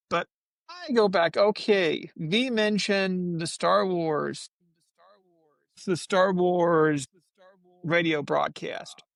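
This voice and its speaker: A man's voice: on the high side, gravelly, fairly bright tone, dry recording. A man talking casually and ramblingly as a panelist in a fan discussion on a podcast.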